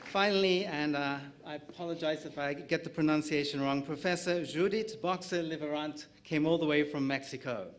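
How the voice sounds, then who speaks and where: A middle-aged South African man runs a conference panel in a slightly higher voice than most men. He reads out guest introductions, stumbling now and then and dropping in a light joke. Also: moderate pace, few pauses, slight background noise. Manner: reads introductions with small slips and light jokes. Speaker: panel moderator.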